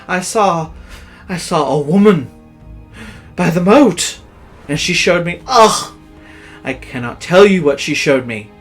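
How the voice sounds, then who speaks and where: A man's voice: a little high, expressive, slow, pauses often, breathy edge, faint music behind, pitched above the performer's own voice, full character voice. A man voicing a kindly old merchant, earnest and easily frightened, in a dramatic storybook reading. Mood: panting terror.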